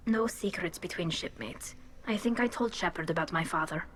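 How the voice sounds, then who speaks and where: A woman's voice: on the low side, normal speed, fairly bright tone, natural voice. An actress voicing a young female alien engineer in a video game, earnest, emphatic and defensive. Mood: subdued, explaining. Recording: clear background noise.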